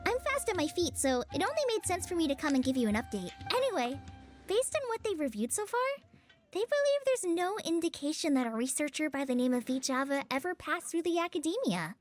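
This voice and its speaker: Eager young apprentice girl, polite and high-voiced. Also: big pitch swings, a little fast, soft background music. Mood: explaining. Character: earnest young female forest ranger apprentice. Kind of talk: game voice acting.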